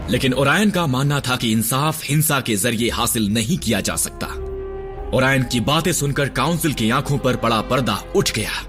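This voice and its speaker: A weary robot medic, acted by a man, lectures in a measured, somber cartoon-dub voice. His pitch sits in the ordinary middle range. A loud musical score plays beneath him. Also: over music, close to an everyday voice. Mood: solemn. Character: grizzled old male robot medic, stern and weary. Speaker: storyteller recounting history.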